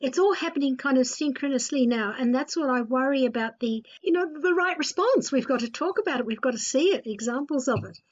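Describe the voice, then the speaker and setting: High-pitched woman hosting, dull sound. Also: lively intonation, a little fast, few pauses. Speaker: radio show host. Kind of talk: conversational interview moderating.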